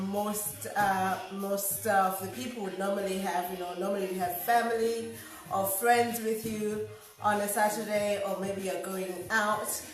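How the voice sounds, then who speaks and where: A middle-aged woman with a hoarse, slightly nasal voice, chatting loudly with many fillers while cooking at home. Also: mid-range voice, distant mic. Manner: loud, close to shouting, many fillers. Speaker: home cook vlogger. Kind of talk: casual cooking vlog chat. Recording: very echoey kitchen, voice off-mic.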